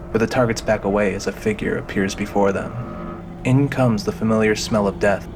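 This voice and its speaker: A man's voice: on the low side, noisy background. A man reading scripted, dramatic narration for an audio drama. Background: music.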